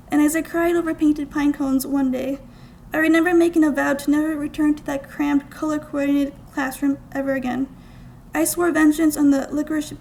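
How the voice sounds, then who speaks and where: High, creaky-voiced young woman reading aloud. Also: darkish tone, a little hiss or hum, rough recording. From United States, small-town Minnesota. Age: young adult. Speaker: student writer reading own work. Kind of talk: scripted literary reading aloud. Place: college art gallery, indoors.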